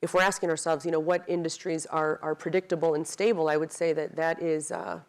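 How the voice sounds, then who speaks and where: A woman speaking as a utility executive on a business panel, measured and careful, with frequent "um" fillers. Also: sits low. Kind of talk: panel discussion.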